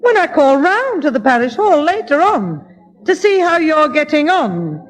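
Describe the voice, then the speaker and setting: A woman's voice: high-pitched, sing-song, moderate pace. A woman playing a shrewd, teasing mother with a Northern English accent in a 1960s British radio comedy. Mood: falsely innocent.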